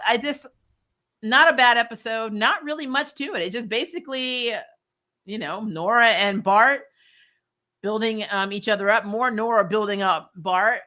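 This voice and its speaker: A woman's voice: mid-range voice, a bit bright. A woman hosting a casual recap livestream, talking informally and chattily about shows and viewer comments. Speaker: host of a TV recap show.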